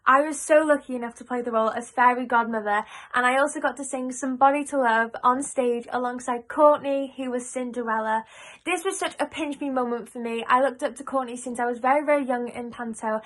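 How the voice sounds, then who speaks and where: A woman's voice: on the high side, fairly quick, a bit bright. A woman from an amateur pantomime cast, talking informally about her favourite show. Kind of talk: spoken recollection to camera.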